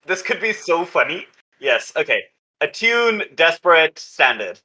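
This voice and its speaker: A man playing a tabletop roleplaying game, talking through his moves casually but with deliberate, somewhat formal phrasing. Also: on the high side, sing-song, bright, forceful tone, poor audio.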